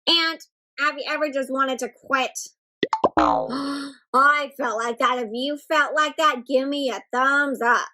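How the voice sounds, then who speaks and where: A woman's voice: sits high, slow pace, pushed and bright. A woman hosting an interactive picture-book read-aloud, speaking expressively to children with exaggerated gasps and frequent questions.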